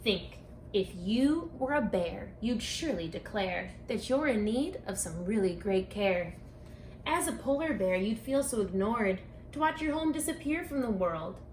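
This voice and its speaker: A woman reading a rhyming picture book aloud for children, speaking expressively and deliberately, straight to her young listeners. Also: mid-range voice, lively intonation, a little mellow. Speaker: children's book author and read-aloud presenter. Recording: echoey room with faint background noise.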